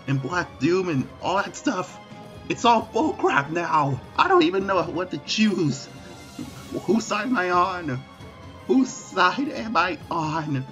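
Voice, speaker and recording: A man voicing an angsty, short-tempered hedgehog antihero in an improvised comedy parody dub, with a halting, exasperated manner. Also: high voice, highs cut off.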